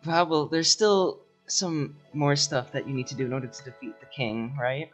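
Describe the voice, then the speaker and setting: A man voicing a scheming young female elf sorceress, halting and smug, in an improvised comedy fandub. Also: a little high, soft background music, natural voice. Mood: coaxing.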